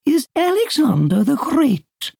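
A man's voice: very high voice, huge pitch swings, takes it slowly, vocal fry, a little mellow, dry recording, studio quality, voice pushed up, heavily acted. A giddy, sing-song male patient. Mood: gushing. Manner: sing-song, flamboyant, theatrical.

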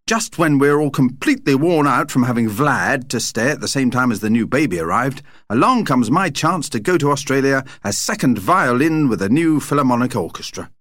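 A man's voice: mid-range voice, animated, normal speed, few pauses, a bit bright, dry recording, clean studio sound. A man voicing a harried father in an audiobook, politely explaining himself and trying to sound jovial. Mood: contented.

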